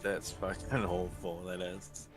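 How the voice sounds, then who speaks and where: A man's voice: sits low, level tone, slow pace. A young man with a New Zealand accent chatting casually and teasing friends while gaming. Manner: teasing, swears freely. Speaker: gamer on voice chat. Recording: game music over voice chat.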